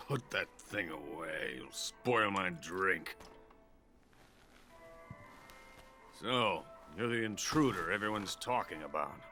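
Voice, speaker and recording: An actor playing a drunk, bitter, boastful older Soviet weapons scientist in a video game cutscene, talking pompously. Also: male voice, low-pitched, pushed and bright, full character voice. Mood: contemptuous, irritable. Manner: pompous, self-important.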